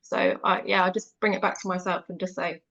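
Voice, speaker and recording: Flat, bright-toned woman, lo-fi sound. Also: on the low side, a little fast, gravelly. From Reading, England. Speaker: guest. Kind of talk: casual discussion.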